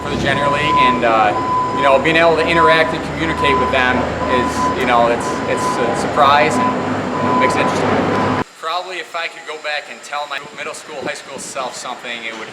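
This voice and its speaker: A plain-spoken man giving informal interview answers as a farm employer, talking haltingly with many filler phrases. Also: mid-range voice. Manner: plain-spoken, halting, frequent "you know". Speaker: farm employer and heavy equipment operator. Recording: distant, echoing, loud vehicle and ventilation hum.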